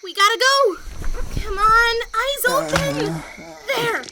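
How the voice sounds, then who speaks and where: A woman's voice: very high voice, slow, heavily acted. A woman playing an exasperated, frantic young rookie sidekick in an audio drama, urgent and exclamatory. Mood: anxious, exasperated. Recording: loud background noise and clicks.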